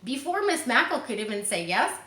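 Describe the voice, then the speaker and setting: A woman reading a children's chapter book aloud, animated, with chatty asides to young listeners. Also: a little high, normal speed, reverberant. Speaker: reader.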